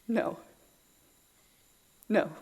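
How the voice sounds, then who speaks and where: An actress playing a bitter, grieving young widow bent on vengeance, cold and resolute in an audio drama. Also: female voice, drawn-out words, a little mellow. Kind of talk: Western audio drama acting.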